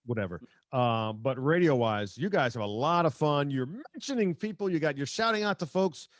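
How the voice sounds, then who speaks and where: A man's voice: fairly high pitch, lively intonation, moderate pace, no room sound, clean studio sound. A man hosting a podcast in casual, slangy sports chat over a remote video call. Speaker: podcast host.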